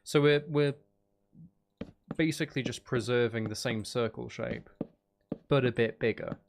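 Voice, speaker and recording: Male gaming streamer, gravelly fry in the voice, informal running commentary that rambles, faint background noise. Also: mid-range voice, fairly even intonation, a little slow. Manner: rambling, thinking aloud. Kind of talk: casual live gameplay commentary.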